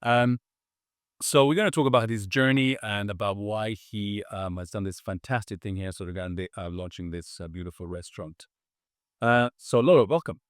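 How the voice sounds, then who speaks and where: A man's voice: on the low side, moderate pace, no room sound, clean studio sound. A man hosting a podcast interview, talking casually and hesitantly with many fillers. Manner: hesitant, many ums and uhs, says okay often. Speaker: podcast host.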